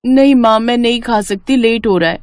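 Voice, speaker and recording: A woman's voice: a little high, moderate pace, darkish tone, no echo, studio quality, voice pushed up, lightly acted. A woman voicing a playful young Indian daughter who teases and pleads with her parents in a story. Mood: hurried. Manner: pert, pleading, teasing. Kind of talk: animated Hindi moral story dialogue.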